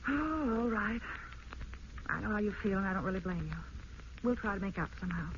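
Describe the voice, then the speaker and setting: A woman's voice: medium pitch, lively intonation, a little fast, close to an everyday voice. An actress with an American accent playing an anxious young wife and flustered new mother in an old-time radio drama, speaking in a fluttery, pleading way. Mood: overwhelmed, pleading. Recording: muffled, noisy old radio broadcast.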